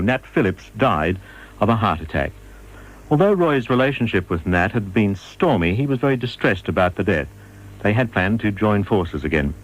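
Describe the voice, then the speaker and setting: Low-voiced man, animated narrator. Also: poor audio. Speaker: radio documentary presenter. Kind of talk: scripted documentary narration.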